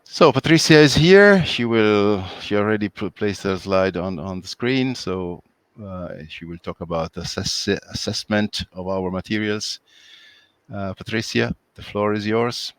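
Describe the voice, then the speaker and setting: A man moderating an online webinar over a video call, talking in a hesitant, halting way with many fillers. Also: lowish voice, dry recording.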